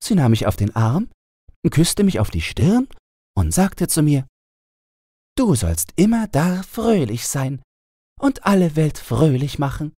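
A man's voice: on the high side, very animated, unhurried, warm tone, no room sound, studio quality, pitched above the performer's own voice. A man voicing a cheerful young fairy-tale princess with playful, naive, bossy chatter. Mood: cheerful, earnest.

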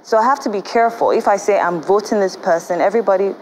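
Measured Nigerian woman talk show guest. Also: mid-range voice, a little mellow, a little hiss or hum, rough recording. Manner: measured, cautious explanation. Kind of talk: studio talk show interview. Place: TV studio. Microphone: lapel mic.

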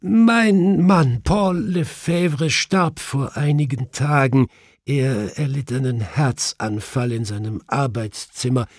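A man's voice: mid-range voice, dry recording, voice pushed up. A man voicing a grieving middle-aged widow with a brittle, tearful voice in an audiobook. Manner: halting, sobbing.

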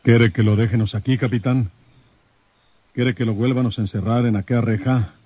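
An actor playing a plain-spoken rural detective, folksy, assured and persuasive, in an old radio drama. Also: male voice, mid-range voice, fairly even intonation, moderate pace, warm tone, breathy edge, no echo, lightly acted. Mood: firm, persuasive. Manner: folksy, assured, rustic speech.

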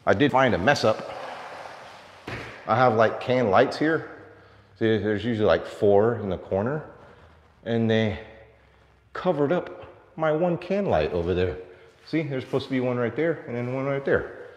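Animated chatty man with a lilt. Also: mid-range voice, a little slow, long pauses, no echo, muffled recording. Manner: chatty, animated, coos at his dogs. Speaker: vlogger. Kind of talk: casual vlog chat. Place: outdoors on a farm. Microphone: phone at arm's length.

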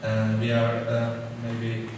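A man's voice: medium pitch, takes it slowly. A young man from Hungary speaking English with a Hungarian accent, giving a hesitant talk full of fillers and restarts on a stage, recorded from a distance. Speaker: hackerspace member giving a talk. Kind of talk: conference talk. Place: stage in a large hall. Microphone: room mic from a distance. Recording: echoey hall, distant mic, steady noise.

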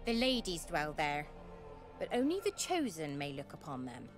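A woman's voice: low voice, wildly swooping pitch, moderate pace, music in the background. A woman playing a solemn village attendant to a seeress in a fantasy game, speaking formally and sternly.

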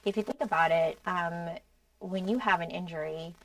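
American woman, lowish voice, dry studio sound, podcast co-host, earnest explainer. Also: normal speed, no echo, distant mic, low-quality recording. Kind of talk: conversational podcast discussion. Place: small indoor podcast studio. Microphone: podcast microphone on boom arm.

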